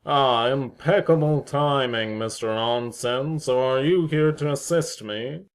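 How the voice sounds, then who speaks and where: A man's voice: medium pitch, a little slow, clean tone, lightly acted. A man playing a pompous, needling, mock-polite neighbour with formal, theatrical phrasing in an amateur audio drama. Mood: smug, mock-polite.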